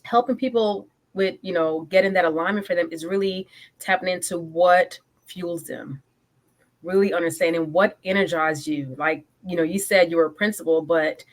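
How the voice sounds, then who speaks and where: A woman's voice: fairly low pitch, normal speed. A woman in her forties from Guyana, a guest on a podcast interview, talking eagerly and energetically, often stopping to correct herself. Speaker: guest, business coach and military veteran.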